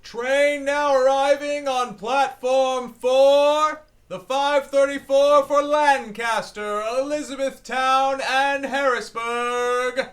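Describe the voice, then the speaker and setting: A woman voices a railway platform announcer in a radio play, pitched high and very slow. The calls are loud, formal and drawn out. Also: fairly even intonation. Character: station announcer calling out trains.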